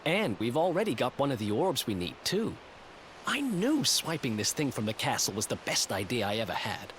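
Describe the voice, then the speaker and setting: An actor playing a brisk, self-assured young rogue in a video game, talking casually. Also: male voice, mid-range voice, big pitch swings, a bit bright, a little hiss or hum. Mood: pleased, confident. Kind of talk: video game cutscene acting.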